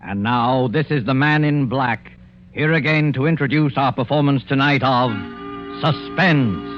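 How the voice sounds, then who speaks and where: A man's voice: medium pitch, measured pace, over music. A man hosting a thriller series, reading scripted narration in formal, suspenseful 1940s radio style. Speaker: host of a thriller anthology. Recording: dull 1940s radio recording.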